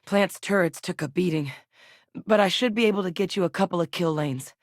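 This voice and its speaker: An actress voicing a seasoned, determined female military xenobiologist in a video game, speaking in a measured, earnest, matter-of-fact way. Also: fairly low pitch, dry recording, clean studio sound, lightly acted. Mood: measured, serious. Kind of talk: scripted game dialogue.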